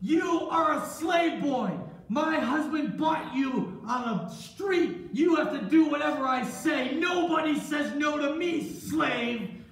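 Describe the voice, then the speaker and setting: Man putting on a shrill, imperious, hysterical wife. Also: slow pace, fairly bright tone, exaggerated voice. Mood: imperious, angry. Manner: theatrical, bossy, wailing. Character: imperious seductive wife of a master, woman, hysterical accuser. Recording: very echoey room, distant mic, faint noise.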